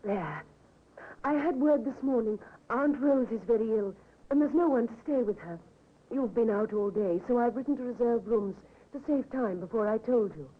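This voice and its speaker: A woman's voice: a little high, normal speed, close to an everyday voice. An actress playing an emotional, devoted-seeming young wife in her twenties in a 1950s radio drama, earnest and theatrical. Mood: matter-of-fact. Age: 20s. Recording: tinny, hissy old radio recording.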